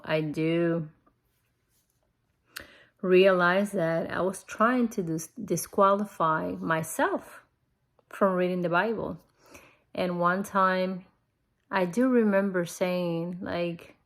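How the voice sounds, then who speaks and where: Woman, middle-aged, non-native English accent, very unhurried pace, gravelly fry, conversational devotional vlogger. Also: fairly low pitch. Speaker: host of a Bible-reading vlog. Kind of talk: casual personal devotional talk to viewers.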